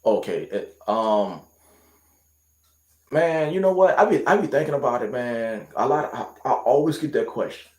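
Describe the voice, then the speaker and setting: A man's voice: mid-range voice, lively intonation, normal speed, roomy sound. A man from Louisiana with a light Louisiana accent, chatting casually on a livestream and answering viewer questions in a rambling, laid-back way full of fillers.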